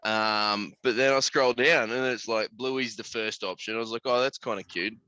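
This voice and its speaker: A man's voice: medium pitch, steady tone, moderate pace, sharp tone, no echo. An Australian man chatting casually as a podcast host, blokey and sweary, full of slang.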